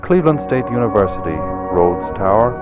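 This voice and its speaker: A man's voice: fairly low pitch, lively intonation, fairly quick, close to an everyday voice. A man playing a formal announcer, reading a scripted sign-off for a religious radio programme. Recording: tinny radio recording, loud music behind. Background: music.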